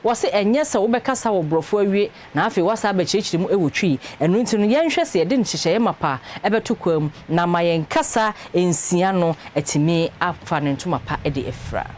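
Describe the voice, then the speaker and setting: Low-pitched Ghanaian woman reading news. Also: expressive, fairly quick, hardly any pauses, darkish tone, a little hiss or hum. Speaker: news presenter.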